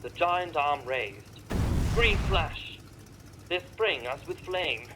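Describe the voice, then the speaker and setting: A man's voice: on the high side, animated, slow pace. A man playing a disciplined bomber lieutenant in a radio play, giving clipped, urgent military reports. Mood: desperate, urgent. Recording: radio drama with loud aircraft engine noise. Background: droning engine.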